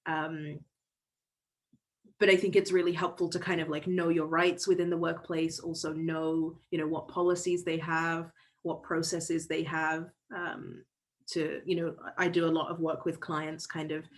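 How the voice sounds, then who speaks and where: A woman's voice: low-pitched, flat delivery, a little slow. A woman facilitating an online workshop over a video call, speaking thoughtfully with many fillers and restarts.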